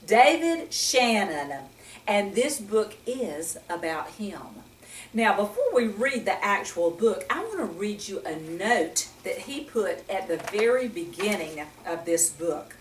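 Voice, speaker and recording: Slow, animated woman storyteller in roomy echo. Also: medium pitch, a little hiss or hum. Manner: animated, gentle teacher-like, asking the listener questions. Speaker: children's story reader and host. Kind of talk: storytime talk to young children with Bible lesson. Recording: roomy echo, distant microphone.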